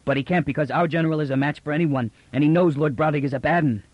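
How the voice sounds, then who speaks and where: A man's voice: a little high, dry recording, lo-fi sound, pitched above the performer's own voice, close to an everyday voice. A man voicing a young sergeant of farming stock who speaks in an agitated, stumbling rush with a thick rural accent. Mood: fearful. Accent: thick rural accent of the figure. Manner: agitated, rushed, stumbling over words. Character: excited young male peasant-born soldier.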